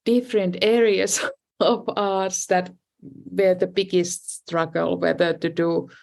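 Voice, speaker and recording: A middle-aged Finnish-accented woman speaks a little low for a woman, talking slowly through her story as a guest. She hesitates often and restarts her sentences, filling gaps with "you know, like.". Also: little pitch movement, vocal fry, a little mellow. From Finland. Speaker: design professor and dean, guest. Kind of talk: casual interview conversation.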